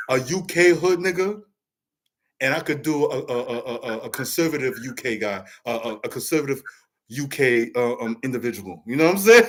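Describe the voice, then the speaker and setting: Male host and stand-up comic, slightly high voice, animated casual chat. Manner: animated and chatty, laughs easily.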